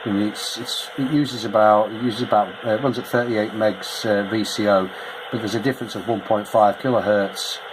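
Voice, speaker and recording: A man with a fairly low voice talks through technical details in a casual, matter-of-fact way. It is picked up on a handheld camera with clear background noise. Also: fairly even intonation, normal speed. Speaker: radio modifier demonstrating his work. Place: small wood-panelled indoor radio bench. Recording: noisy handheld camera recording.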